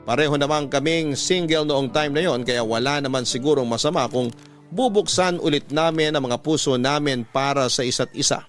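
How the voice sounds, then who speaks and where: A man voices an earnest letter writer for radio love storytelling. He reads in a medium-pitched, measured, sentimental way. Faint music runs beneath the narration. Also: close to an everyday voice. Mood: sentimental, reflective.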